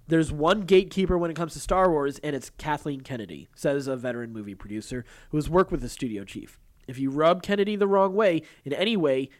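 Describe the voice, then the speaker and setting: A man co-hosting a podcast, talking through entertainment news in a casual way and reading stories aloud. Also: a little high. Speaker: podcast co-host.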